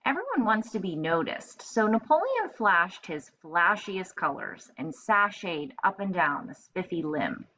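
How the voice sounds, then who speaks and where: A woman with a fairly low voice reads a children's story like a teacher. She thinks aloud in simple, instructive asides. The recording is slightly dull and lo-fi. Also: very animated, measured pace, hardly any pauses, bright, forceful tone. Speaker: teacher reading aloud to pupils. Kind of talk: storybook narration with explanatory asides.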